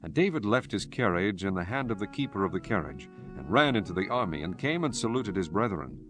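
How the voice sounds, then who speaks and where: Low-voiced man narrating scripture with animated range. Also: music in the background. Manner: solemn, measured storytelling.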